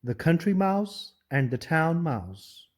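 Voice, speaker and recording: A storyteller giving a deliberate, expressive scripted reading of a fable for an audiobook. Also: medium pitch.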